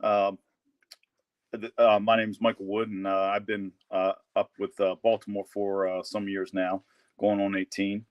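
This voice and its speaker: Flat, low-voiced firefighter. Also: male voice, moderate pace, lo-fi sound. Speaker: Baltimore firefighter. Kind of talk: casual webcast chat.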